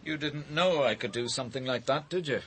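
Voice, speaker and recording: An actor playing a proud, aggrieved painter in a radio drama, blunt and surly in his delivery, with a husky voice. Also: medium pitch, a little fast, a bit bright. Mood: curt, defiant. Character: bitter, proud, aggrieved widowed painter, a father.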